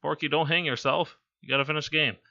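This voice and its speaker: A hoarse man with laryngitis giving casual, rambling, amused gameplay commentary as a voice-over. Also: on the high side, steady tone, fast, sharp tone, lo-fi sound. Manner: rambling, amused and self-deprecating. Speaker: game commentator.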